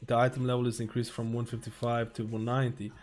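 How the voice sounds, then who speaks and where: A man live-streaming, reading a guide and viewer questions aloud in a halting, casual way and breaking into asides while losing his voice. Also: mid-range voice, monotone, a little mellow.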